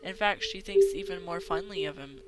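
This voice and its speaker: Amateur female narrator, slightly low voice, stumbling read of visual novel text, loud game music behind. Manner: halting, stumbles over names and words. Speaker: amateur video maker.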